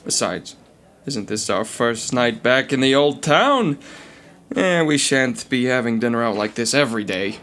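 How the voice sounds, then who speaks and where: A man's voice: medium pitch, animated, unhurried, a bit bright. A man playing a devoted, protective new husband in a 1910s New York comedy audio play, earnest and slangy. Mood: doting.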